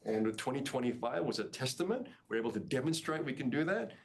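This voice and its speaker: A man's voice: mid-range voice, expressive, fast talker, slight background noise. A man speaking as a company executive, answering on an earnings call with emphatic, repeated and restarted phrases.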